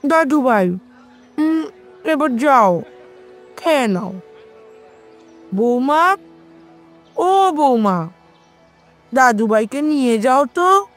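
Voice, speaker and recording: An affectionate elderly matriarch played by a woman speaks extremely slowly in a fairly high voice, over music. She draws out her words tenderly and coaxes like a doting grandmother in an audio drama. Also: expressive, exaggerated voice. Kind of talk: Bengali audio story acting.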